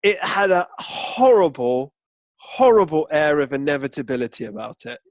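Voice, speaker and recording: Guy on a footy podcast, high voice that swings around a lot, opinionated, dry close sound. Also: very animated, normal speed, dry recording. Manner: opinionated, emphatic, sweary. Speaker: football podcast co-host. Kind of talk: casual banter about football.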